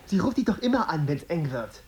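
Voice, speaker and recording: An actor playing a resentful, defensive young journalist in a radio drama, with a bitter, accusing way of talking. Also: male voice, on the high side, big pitch swings, brisk. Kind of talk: German radio drama acting.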